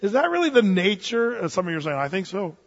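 High-voiced pastor in an echoing hall. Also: male voice, sing-song, quick pace. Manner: conversational, joking asides, acts out quoted voices. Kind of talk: sermon. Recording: dull sound with long hall reverb.

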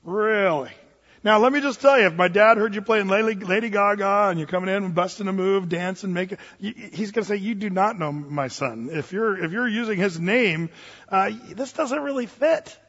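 Male pastor, high-ish voice, conversational sermon with playful asides; muffled sound in a reverberant sanctuary. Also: moderate pace, a bit bright. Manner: conversational, joking asides, acts out quoted voices. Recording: dull sound with long hall reverb.

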